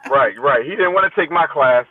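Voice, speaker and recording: A man's voice: a little high, lively intonation, fairly quick, a crowd in the background. A man in his fifties, a teacher appearing as a podcast guest, talking conversationally and with good humor, often repeating his phrases. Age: 50s. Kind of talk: casual interview chat. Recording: thin phone-like connection.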